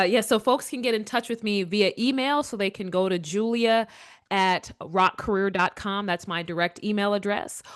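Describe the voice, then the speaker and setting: A woman's voice: medium pitch, smooth. Earnest woman, podcast guest. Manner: earnest, restarts often, repeats words. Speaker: guest, leadership coach. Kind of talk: casual interview chat.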